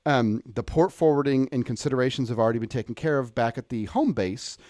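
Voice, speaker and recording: A man walks through a technical demonstration in an informal, explanatory style. His delivery is unpolished and a little apologetic, as if thinking aloud. He has a middling pitch, and the sound is close and dry, with very little room. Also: brisk, darkish tone, no room sound. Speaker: presenter demonstrating audio software. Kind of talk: informal tutorial walkthrough.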